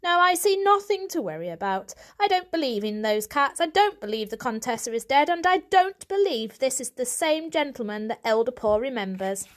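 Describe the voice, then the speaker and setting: A woman's voice: high-pitched, sing-song, moderate pace, few pauses, pitched above the performer's own voice. A woman voicing a complacent, blustering male cat, a father who speaks in a dismissive, assertive way in a storybook. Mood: dismissive, defiant.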